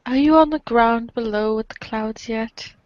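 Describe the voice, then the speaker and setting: A woman's voice: fairly high pitch, moderate pace, darkish tone, no echo, highs cut off, natural voice. A woman playing a friendly, apologetic, scatterbrained young girl in a chatty, casual amateur reader's theater reading. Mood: friendly greeting.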